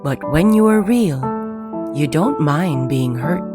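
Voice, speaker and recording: A woman voicing a wise, gentle old male toy horse who speaks slowly, patiently and reassuringly in a children's story. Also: sits low, expressive, over loud music.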